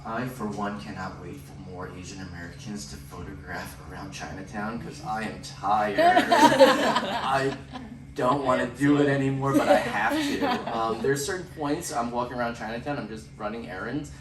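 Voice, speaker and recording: A man telling stories on a photography panel, joking and animated. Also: medium pitch, normal speed, a crowd in the background. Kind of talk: panel discussion. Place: event room at a photography center. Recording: roomy room mic from far off, background noise.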